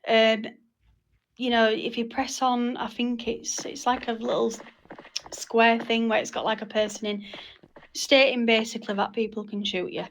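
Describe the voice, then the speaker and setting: Woman with an even, creaky voice. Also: a little high, normal speed, slight background noise, poor audio. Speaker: meeting leader. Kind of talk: informal group meeting talk.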